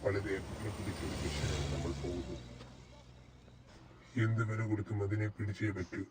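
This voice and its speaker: Man acting a low-voiced commanding mission leader, noisy track. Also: fairly even intonation, moderate pace, natural voice. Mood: urgent, commanding. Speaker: voice actor in an animated adventure. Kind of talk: Malayalam cartoon dialogue.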